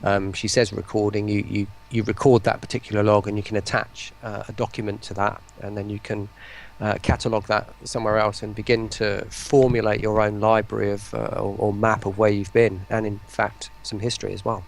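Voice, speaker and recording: A man speaking as an interview guest on an online call, explaining things casually in a rambling, halting way. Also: lowish voice, little pitch movement, vocal fry. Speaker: interview guest, voice pack developer. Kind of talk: casual chat, explaining a product.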